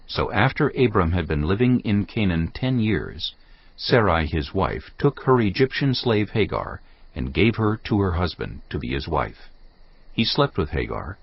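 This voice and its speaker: A man narrating scripture from a script in a measured, dramatic way. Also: deep voice, gravelly, breathy edge. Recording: slightly dull audio, music behind. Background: background music.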